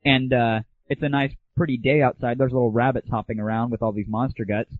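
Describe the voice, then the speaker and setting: A man's voice: medium pitch, fairly even intonation, a little fast. A man hosting a gaming audio show, delivering an informal solo rant in a crude, sarcastic, exaggerated griping manner. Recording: dull lo-fi 1990s internet audio, phone-like.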